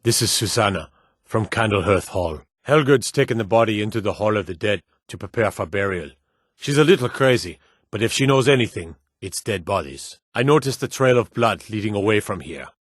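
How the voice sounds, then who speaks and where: Fantasy game city guard, low slightly husky voice, weary, gruff, serious, measured delivery. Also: male voice, animated, dry recording, clean studio sound. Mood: grim, weary. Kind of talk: video game character dialogue.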